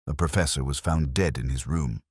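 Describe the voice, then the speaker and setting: A man playing a weary, melancholy older police inspector, narrating a mystery drama in a measured, wry, literary manner. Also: a bass voice, breathy edge, no echo, clean studio sound. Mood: weary, reflective. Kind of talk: slow literary first-person mystery narration.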